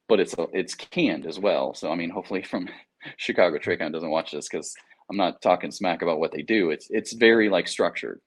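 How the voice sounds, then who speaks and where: An American man talking casually in an interview, explaining things in an informal, anecdotal, slangy way. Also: low-pitched. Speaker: air traffic controller, interview guest.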